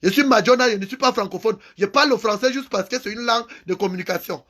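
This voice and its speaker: A man's voice: sits high, fast, fairly bright tone. A man giving an angry, impassioned political rant, hammering home repeated phrases. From Cameroon. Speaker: activist vlogger.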